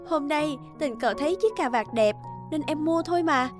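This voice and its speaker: A woman playing a coy, long-suffering young wife in a cartoon drama, speaking softly. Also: high-pitched, lively intonation, moderate pace, over music. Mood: coy, affectionate.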